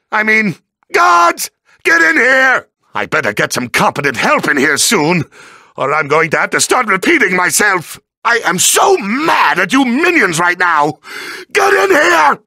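Whiny evil cartoon boss for a video game, super gravelly, drags out words, blustery and bratty, dry close sound. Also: male voice, high-pitched, sharp tone, dry recording, heavily acted. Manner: petulant, blustering, theatrical taunting. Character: bratty evil villain boss spirit with new body. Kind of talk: exaggerated video game villain voice acting.

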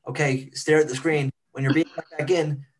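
A man's voice: fairly high pitch, flat delivery, normal speed, husky. A man chatting casually on a panel as a cast member, with wry, clipped asides. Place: indoors on a video call. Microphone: computer mic on video call.

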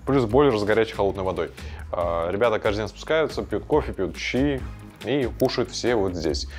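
A man's voice: on the low side, animated, fairly quick, soft background music. A man hosting a vlog, chatting casually as he tours a rented house and interviews his team. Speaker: vlog host and team owner. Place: large rented house indoors.

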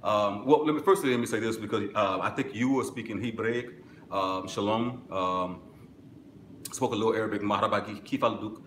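Middle-aged male host with a deep voice, blunt and instructive, live-streaming from an echoey office on a camera mic. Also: normal speed, fairly bright tone, a little hiss or hum. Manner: direct, instructive, answers viewer comments. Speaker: music business webinar host. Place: small office with corkboard, indoors.

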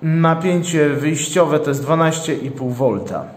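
A man's voice: a little high, unhurried. A man giving an unscripted, matter-of-fact unboxing narration as a product reviewer, going through things methodically. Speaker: product reviewer, channel host. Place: indoors, at a tabletop. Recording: echoey hard-walled room, long reverb.

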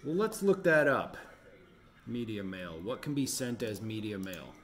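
A man in his forties talking casually to his vlog camera indoors, musing and halting as he thinks aloud. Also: lowish voice, big pitch swings. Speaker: vlogger running a home resale business.

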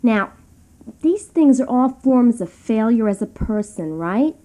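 Woman acting a mellow seminar coach. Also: fairly high pitch, lively intonation, unhurried. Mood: encouraging coaching. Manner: echoing answers, coaching. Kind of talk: satirical seminar, prompting a group.

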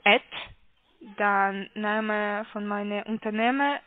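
A woman with a foreign accent making a halting sales phone call, hesitant and careful as she spells things out. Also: mid-range voice, fairly even intonation. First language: not German. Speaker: call centre caller. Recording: muffled, narrow phone line.

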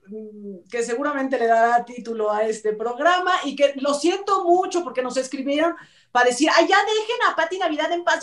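High-voiced Mexican woman host, distant mic. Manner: chatty, emphatic, sarcastic. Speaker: talk show host. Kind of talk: informal opinion commentary on entertainment news. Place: indoor room, video call.

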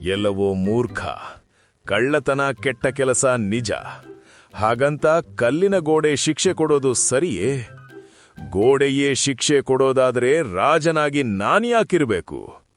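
A man playing a foolish, hot-tempered king with pompous, commanding delivery in a cartoon folk tale. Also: medium pitch. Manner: pompous, theatrical declaiming.

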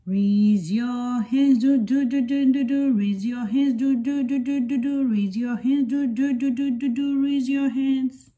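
A woman's voice: high voice, steady tone, a little slow, few pauses, dark tone, muffled recording. A woman reading a children's picture book aloud in a rhythmic, repetitive sing-song chant.

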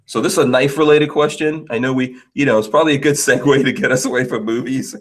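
Middle-aged man from Guyana, podcast host, laughs a lot, lively storytelling, headset mic. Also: mid-range voice, fast talker. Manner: laughs often, animated storytelling. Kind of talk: casual podcast chat. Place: home studio, indoors.

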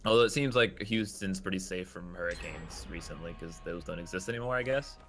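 Casting: male gamer, lowish voice, relaxed group chat, clear music underneath. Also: brisk. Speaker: gamer in a group play session. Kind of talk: casual chat over gameplay. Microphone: online voice chat mic.

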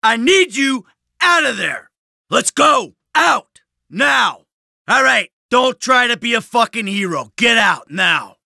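A cynical middle-aged American gangster from a video game, sardonic and easily irritated. The voice is sharp and gritty with a creaky edge, recorded very dry. He delivers short, blunt tough-guy lines without hurry. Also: very high voice, very animated, takes it slowly, no echo, full character voice. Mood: angry, urgent.

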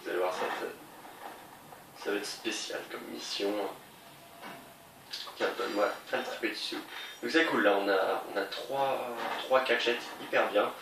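A man's voice: fairly low pitch, normal speed, pauses often. A man with a slight cold giving chatty let's play commentary, thinking aloud and talking to his viewers. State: a bit congested with a cold. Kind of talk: casual game commentary. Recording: distant mic in a roomy space with noise.

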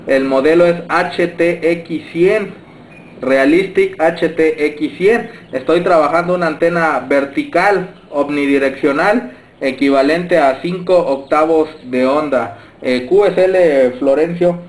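A Mexican man giving signal reports as a radio operator, courteous and halting as he speaks indoors. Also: on the high side. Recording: roomy camera pickup with faint noise.

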